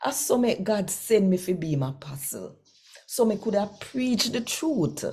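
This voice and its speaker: A woman with a Jamaican accent reads scripture conversationally as host, speaking deliberately and directly to her listeners. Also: on the low side, darkish tone, breathy edge.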